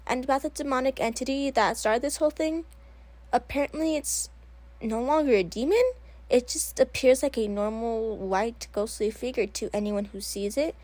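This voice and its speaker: A woman's voice: on the high side, a little mellow, lightly acted. A woman playing a hesitant, informal local news anchor in an amateur audio drama. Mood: awkward relief. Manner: halting, informal, awkward.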